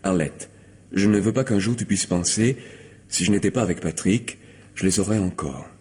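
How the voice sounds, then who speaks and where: An actor playing a smooth, manipulative lover in a radio mystery, coaxing and insistent, slipping into commands. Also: male voice, low voice, moderate pace, a little mellow, natural voice. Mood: pressing, velvety. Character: smooth, manipulative male lover greedy for valuables. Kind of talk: theatrical French radio mystery acting.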